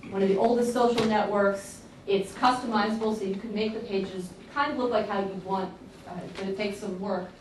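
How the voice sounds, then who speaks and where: Woman presenter, lively and emphatic, captured from far back in a very echoey lecture hall. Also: mid-range voice, fairly quick, slight background noise. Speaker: conference presenter. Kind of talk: conference talk with slides. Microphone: far room camera.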